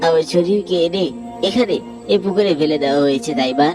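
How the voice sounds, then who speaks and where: A man's voice: on the high side, a little fast, music in the background, natural voice. A man voicing a wronged young man in a Bengali horror cartoon, insistent and aggrieved in manner. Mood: bitter, vengeful. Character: wronged young man, murdered, now a vengeful restless pond ghost.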